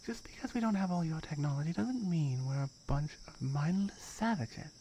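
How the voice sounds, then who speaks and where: Tiger girl played by a woman: territorial, firm, matter-of-fact ASMR roleplay. Low voice with a gravelly creak. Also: animated, normal speed, dark tone, slight background noise, rough recording. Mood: wry, matter-of-fact. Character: firm, dominant tiger girl guarding her jungle territory.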